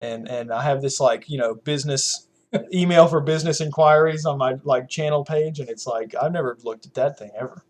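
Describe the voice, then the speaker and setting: A man's voice: mid-range voice, fairly quick. A young man with a Southern US accent, chatting casually as a podcast host, rambling with lots of fillers and frequent laughs. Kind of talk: casual chat.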